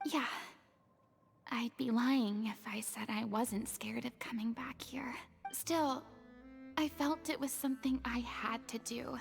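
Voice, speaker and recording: Remorseful young woman, game character, soft half-whispered voice, gravelly, high-pitched. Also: steady tone, slow pace, soft background music. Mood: wistful. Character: remorseful young woman, former goddess pretender.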